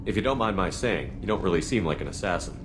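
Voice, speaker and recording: An actor playing a calm, principled military commander in a video game, speaking plainly and directly. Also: male voice, low voice, quick pace. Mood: probing. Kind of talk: video game character dialogue. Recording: noticeable background ambient noise.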